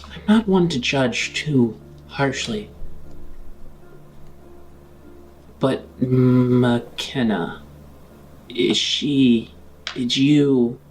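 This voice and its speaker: A man voicing a stern, inquisitive woman of authority in a tabletop game, with measured, probing questions. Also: medium pitch, slight background noise, rough recording. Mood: guarded.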